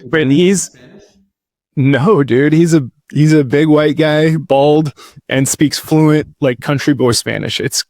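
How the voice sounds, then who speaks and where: Laid-back gravelly-voiced man telling his story. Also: on the high side, takes it slowly, darkish tone, no room sound, studio quality. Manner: laid-back, rambling, says dude and like a lot. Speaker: podcast guest. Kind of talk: casual storytelling interview.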